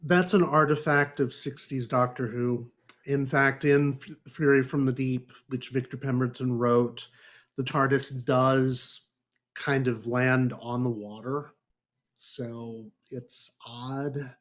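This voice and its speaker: A man with a medium-pitched voice chats about a book. He speaks casually and conversationally, as one panelist in a book club podcast. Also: steady tone, slow, a bit bright.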